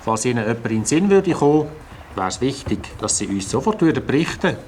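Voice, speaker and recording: An actor with a Swiss German accent playing a polite, formal police officer speaking on the phone in a radio play. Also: male voice, mid-range voice, sing-song, quick pace, close to an everyday voice. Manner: courteous and formal. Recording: music playing under the voice.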